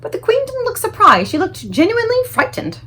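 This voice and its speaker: A woman reading a children's book aloud as an expressive storyteller. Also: very high-pitched, fairly quick, slight background noise.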